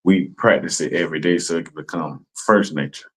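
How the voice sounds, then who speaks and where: A man's voice: deep voice, brisk, fairly bright tone. A man explaining greetings in a casual chat, laid-back, often asking "you know what I'm saying". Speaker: class member explaining greetings.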